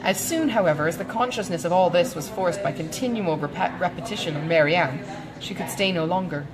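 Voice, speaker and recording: A woman reading a classic novel as an audiobook narrator, in a measured, expressive storytelling manner. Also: on the low side, poor audio.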